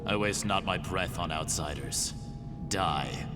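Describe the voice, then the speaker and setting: An actor playing a stern, stoic warrior in a video game, speaking in terse, cold and formal phrases. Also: male voice, deep voice, slow pace. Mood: cold contempt. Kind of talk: video game cutscene acting. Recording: loud music underneath.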